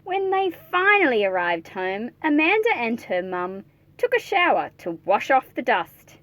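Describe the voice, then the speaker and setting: Female children's read-aloud host: highish pitch, big melodic swings, playful and chatty with young listeners, dry recording. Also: unhurried, no echo. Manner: animated, playful, addressing young listeners directly.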